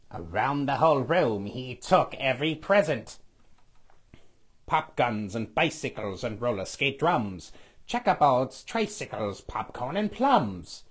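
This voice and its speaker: A man voicing a scheming skeletal villain lord who reads a storybook aloud with a raspy, sniffly voice, stretching out repeated words. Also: medium pitch, very animated, exaggerated voice. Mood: gloating. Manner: sing-song storybook reading, drawn-out repeated words.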